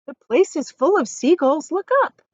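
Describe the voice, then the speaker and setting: Woman as young boy, calm, confident, earnest explaining, high lively pitch, storybook read-aloud. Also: moderate pace, dry recording, lo-fi sound. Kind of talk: children's storybook character reading.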